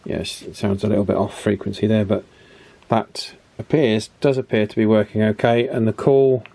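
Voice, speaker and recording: A man giving a casual hands-on repair demonstration as host, unhurried and thinking aloud through each step. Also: on the low side, flat delivery, vocal fry, mellow, slight background noise. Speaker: host of a radio repair channel.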